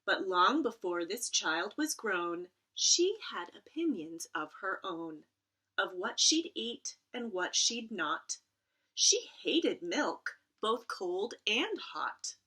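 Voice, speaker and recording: A woman's voice: medium pitch, sing-song, slow, bright, forceful tone, slightly rough. A middle-aged woman, a school librarian, talking and reading aloud to children at home, addressing them directly and drawing out key words. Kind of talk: casual chat and read-aloud storytelling for children.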